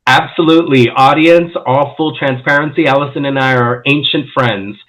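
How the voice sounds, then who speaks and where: A man's voice: mid-range voice, moderate pace, fairly bright tone. A man hosting a podcast, enthusiastic and friendly in casual interview talk. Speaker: podcast host. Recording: slightly dull lo-fi audio.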